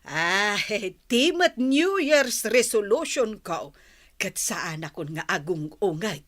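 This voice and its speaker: A woman's voice: medium pitch, expressive, slow pace, a bit bright. A woman playing a chatty, teasing village housewife in a conversational radio drama.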